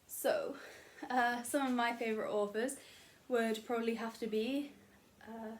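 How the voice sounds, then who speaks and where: A woman's voice: fairly high pitch, fairly even intonation, a little slow, slight background noise. A young woman chatting casually to camera about books in her bedroom, rambling and self-deprecating with lots of fillers. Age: young adult. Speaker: booktuber. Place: bedroom at home.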